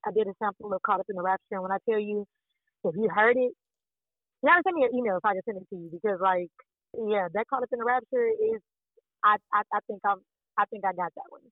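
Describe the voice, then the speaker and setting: A woman from Fort Worth, Texas, with a deep, raspy voice, talking excitedly as a singer being interviewed, rambling and repeating words. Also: no room sound. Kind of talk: casual interview chat. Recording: dry, tinny phone-like line.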